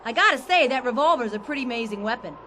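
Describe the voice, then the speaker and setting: High-voiced, plucky woman adventurer. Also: lively intonation, fast talker, slight background noise. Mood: tense, recounting. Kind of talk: 1990s science fiction radio drama acting. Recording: loud ambient sound effects behind.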